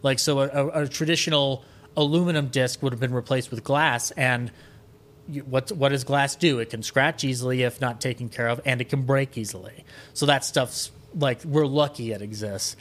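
Guy on a podcast, medium pitch, rambling on like an excited old-movie nerd, super conversational. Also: normal speed. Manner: rambling, enthusiastic film-buff talk. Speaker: podcast guest. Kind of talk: casual conversational storytelling.

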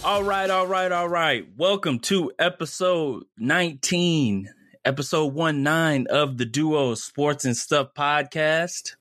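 A man's voice: fairly high pitch, slow pace, a bit bright, no echo, studio quality, soft background music. A man co-hosting a casual sports podcast, talking in an easygoing, friendly way. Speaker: podcast co-host.

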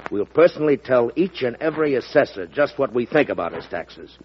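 An actor playing a plainspoken rural auctioneer plotting against a tax, in a 1940s radio drama. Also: male voice, mid-range voice, very animated, fairly quick, a little hiss or hum, lightly acted. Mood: scheming. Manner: plainspoken, folksy grammar. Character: blunt rural male auctioneer stirring up a tax revolt. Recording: dull old radio recording.

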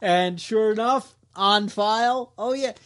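A man co-hosting a podcast, telling a story in casual chat with a wisecracking, lively manner and quick put-on voices. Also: sits high. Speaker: podcast co-host.

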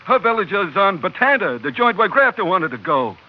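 An actor playing a brash, slangy, loyal sailor sidekick in an old-time radio drama, with a wisecracking manner. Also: male voice, high-pitched, very animated, fairly quick. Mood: brash, amused. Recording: dull, lo-fi, noisy old radio recording.

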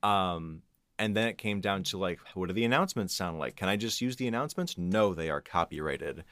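Man, low voice, dry close sound, casual storytelling, podcast guest, acts out quotes. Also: fairly quick, a bit bright, no room sound. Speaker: podcast guest, composer and audio engineer. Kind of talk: casual anecdotal chat.

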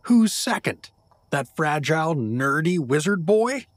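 An actor playing a sarcastic middle-aged dad turned reluctant hero in a fantasy audio drama. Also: male voice, on the high side, very animated, unhurried, no room sound. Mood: mocking. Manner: mocking, sarcastic.

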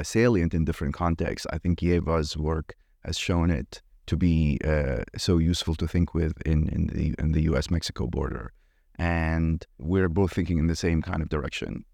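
A man's voice: a bass voice, normal speed, vocal fry, warm tone, dry recording, studio quality. A middle-aged man from Iraq, a professor answering interview questions in a hesitant way, with many fillers and restarts. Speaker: guest, anthropology professor.

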